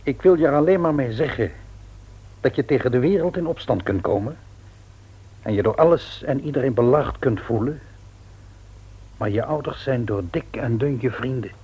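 A grave, very husky father. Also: mid-range voice, mellow. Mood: earnest, conciliatory. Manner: measured, grave, deliberate. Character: earnest, patient, devout middle-aged father. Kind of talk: radio play acting. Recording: old radio play recording.

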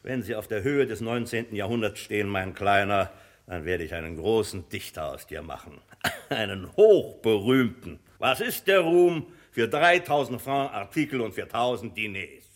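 An actor in a German radio drama playing an imperious, cynical publisher who talks in a blustering, condescending way. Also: male voice, on the low side, expressive, normal speed, natural voice. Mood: grand, condescending. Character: imperious, cynical, boastful male publisher and bookseller.

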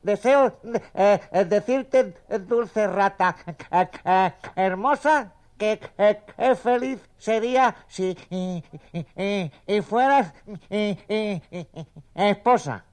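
A man voicing a bumbling, nervous male cat who stammers haltingly in a children's audio story. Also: high voice, clean tone. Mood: nervous, lovesick.

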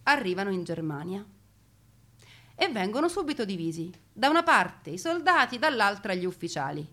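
A woman narrating a family wartime story as a theatrical monologue, with dramatic pauses and deliberate emphasis. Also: medium pitch, very animated, fairly bright tone. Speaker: stage narrator telling grandfather's wartime story.

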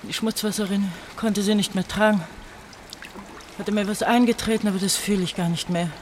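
A woman's voice: mid-range voice, steady tone, a little fast, slight background noise, lightly acted. Woman acting desperate, bitter young fugitive. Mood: exhausted, despairing. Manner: emotional, reproachful, dramatic. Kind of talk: German radio play acting. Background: running, dripping water.

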